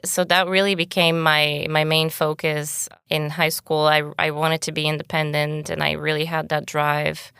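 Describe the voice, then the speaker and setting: A woman's voice: deep voice, flat delivery, moderate pace, vocal fry, hardly any pauses, a bit bright, smooth, dry recording, clean studio sound. A young woman from Bulgaria, a native Bulgarian speaker, telling her story candidly as a podcast guest. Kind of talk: casual interview talk.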